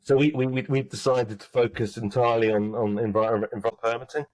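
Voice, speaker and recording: A man answering podcast interview questions as a mining company executive, halting with frequent restarts and fillers. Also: medium pitch, little pitch movement, a little mellow, dry recording, rough recording.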